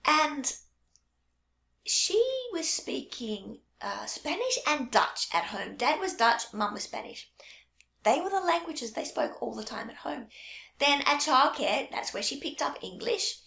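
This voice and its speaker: A middle-aged woman, a French teacher for young children, talking straight to camera in a warm, reassuring storytelling way. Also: high voice, lively intonation, a little slow, vocal fry. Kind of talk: casual direct-to-camera talk. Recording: echoey room, dull lo-fi sound.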